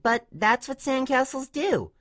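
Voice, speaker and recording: An earnest little cartoon filly voiced by a woman, high-pitched and very animated. The delivery is childlike and plaintive, vulnerable, as in an acted story reading. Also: unhurried, full character voice. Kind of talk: dramatic fan-fiction character acting.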